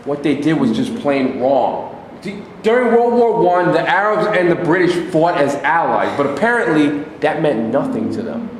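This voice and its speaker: A man's voice: fairly high pitch, sing-song, moderate pace. A man playing an indignant, accusing dispossessed Palestinian in a declamatory staged reading of a play. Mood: indignant, argumentative. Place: reverberant indoor hall, staged reading. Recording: echoey hall from far off, hissy.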